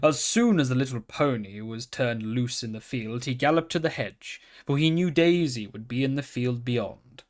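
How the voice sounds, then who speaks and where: A man reading a children's storybook aloud in a calm, steady manner. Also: medium pitch, moderate pace, few pauses. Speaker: reader.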